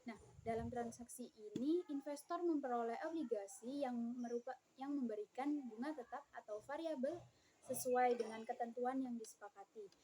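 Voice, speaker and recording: A woman's voice: on the high side, moderate pace, warm tone, poor audio. A young woman gives an explanatory student presentation, sometimes repeating phrases as she goes. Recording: clear background room noise.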